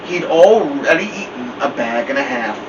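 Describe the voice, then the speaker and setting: A man's voice: medium pitch, expressive, moderate pace. A man reading a novel aloud as first-person storybook narration. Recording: dull, distant mic with loud air-conditioner hum.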